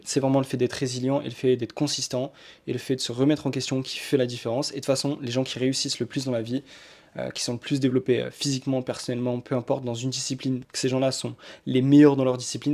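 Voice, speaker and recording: A man's voice: mid-range voice, a little mellow. A young man giving casual, rambling motivational marketing advice to camera, repeating his points with many fillers. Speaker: marketing coach.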